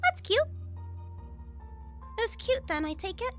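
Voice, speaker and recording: A woman's voice: very high voice, big pitch swings, measured pace, soft background music, pitched above the performer's own voice, full character voice. A woman voicing a cute girl idol who is sweet and confused.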